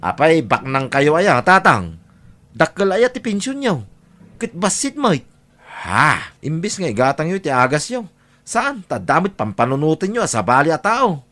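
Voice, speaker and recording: A man's voice: fairly high pitch, wildly swooping pitch, a little slow, a bit bright, full character voice. A man playing an exasperated grown son in an audio drama, scolding and nagging his overly generous father. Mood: scolding, indignant.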